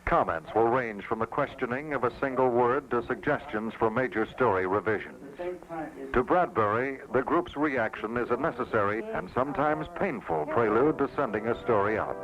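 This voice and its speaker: A man's voice: medium pitch, animated, moderate pace, crowd noise. A man reading scripted documentary narration as the narrator. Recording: dull lo-fi old documentary soundtrack, music. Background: music.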